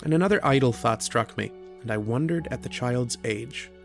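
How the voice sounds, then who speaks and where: A man acts a polite, curious lodger from a radio ghost story, in a medium-pitched voice with plenty of creak. He is measured and reflective, a little hesitant, with light music in the production. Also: close to an everyday voice. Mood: brooding, reflective. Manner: hesitant in dialogue, brooding and measured in narration. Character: polite, curious male student lodger narrating a ghost tale. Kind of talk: old-time radio horror drama narration.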